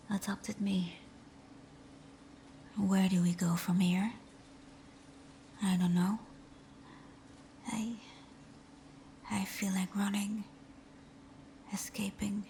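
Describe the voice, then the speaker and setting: A woman's voice: mid-range voice, slight background noise. Woman acting a timid, whispering villainess. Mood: hesitant, vulnerable. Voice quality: soft, breathy whisper. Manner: hesitant, halting, intimate. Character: timid, tender female fox supervillain confessing to best friend. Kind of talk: whispered ASMR roleplay.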